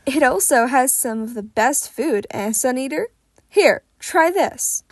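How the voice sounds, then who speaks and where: A woman's voice: sits high, lively intonation, a little slow, no room sound, studio quality, full character voice. Woman playing Fat Gum, hearty jolly hero. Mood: hearty, jovial. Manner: hearty, jovial. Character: big jolly food-loving male superhero mentor.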